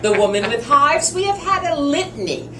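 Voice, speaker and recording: A woman's voice: high voice, big pitch swings, normal speed, fairly bright tone, faint music behind. A woman in her fifties co-hosting a casual chat, teasing her partner and laughing often. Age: 50s. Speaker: show co-host. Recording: roomy distant mic with background noise.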